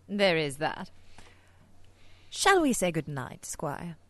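A woman's voice: low-pitched, big pitch swings, takes it slowly, no room sound, heavily acted. A woman playing a sly, inquisitive British spy in radio theater, with an arch, playful manner. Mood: wry.

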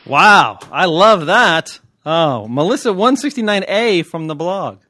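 A man's voice: sits high, lively intonation, a little slow, sharp tone, dry recording. A man co-hosting a podcast in casual, opinionated banter, blunt and wryly teasing. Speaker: podcast co-host.

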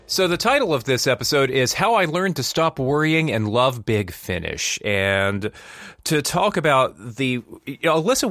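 A man hosting a podcast in a conversational style, musing in a measured way with deliberate pauses. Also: a little high, fairly bright tone, dry recording, clean studio sound. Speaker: podcast host.

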